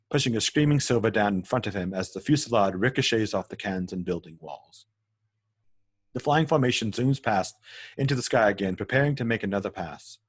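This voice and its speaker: A man narrating stage directions in a script table read, matter-of-fact and occasionally stumbling over words. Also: lowish voice.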